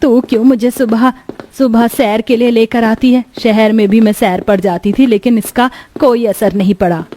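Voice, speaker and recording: An elderly, hard-to-please mother-in-law from a cartoon moral story played by a woman. Her voice sits fairly high with a very soft, mellow tone, and her manner is complaining. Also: fairly even intonation, moderate pace, a little hiss or hum, natural voice. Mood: grumbling.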